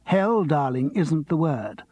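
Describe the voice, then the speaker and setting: A man's voice: a little high, big pitch swings, slow pace, warm tone, pitched above the performer's own voice, heavily acted. A man voicing a gushing, affected theatrical actress who coos and calls everyone darling, in a storybook reading. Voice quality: cooing, affected. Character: gushing theatrical actress, middle-aged woman.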